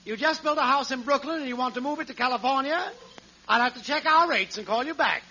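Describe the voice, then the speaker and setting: An actor playing a brash, blustery, wisecracking crooked building contractor in a 1940s radio comedy. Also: male voice, very high voice, quick pace, pushed and bright, exaggerated voice. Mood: breezy, glib. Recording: muffled vintage 1940s radio with hiss.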